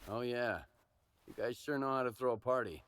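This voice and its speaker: A man's voice: mid-range voice, moderate pace, fairly bright tone, smooth, natural voice. An actor playing a tough, unflappable male mercenary hero in a video game, laconic, dry and self-assured.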